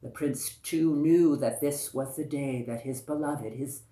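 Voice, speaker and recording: An echoey room carries a woman's oral storytelling. She tells a folktale very slowly and deliberately in a low voice, circling back over phrases for emphasis. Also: dark tone. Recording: echoey, roomy sound.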